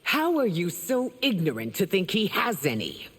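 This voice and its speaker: A woman's voice: mid-range voice, wildly swooping pitch, normal speed, fairly bright tone, husky, full character voice. A woman playing a proud, stern empress in a fantasy video game, speaking in a regal and formal manner. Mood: scornful. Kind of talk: video game dramatic acting. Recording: long hall reverb, music behind.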